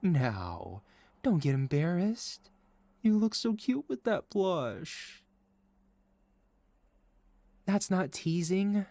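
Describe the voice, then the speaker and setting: A man playing a sweet, caring, gently teasing boyfriend in a soft, reassuring ASMR roleplay. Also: high voice, sing-song, extremely slow, long pauses, no echo, heavily acted. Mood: affectionate, playful. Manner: soft, tender, reassuring, playful. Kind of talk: ASMR boyfriend roleplay, intimate scripted monologue.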